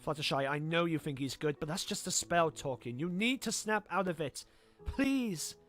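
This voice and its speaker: A woman's voice: low voice, lively intonation, normal speed, faint music behind. A woman voicing a stern, scheming cartoon pony in authority, controlled and insistent.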